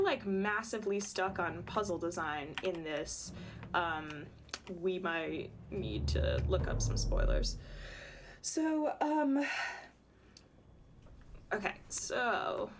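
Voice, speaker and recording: A slightly hoarse woman streaming a game, chatting casually and thinking aloud with many ums. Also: mid-range voice. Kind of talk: casual livestream commentary.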